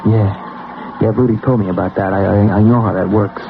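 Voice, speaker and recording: An actor playing an anxious, obsessed working-class everyman in a radio drama, with colloquial, halting, stammering speech. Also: on the low side, fairly even intonation, lightly acted. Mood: nervous pleading. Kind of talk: old-time radio drama acting. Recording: tinny, noisy old radio broadcast recording.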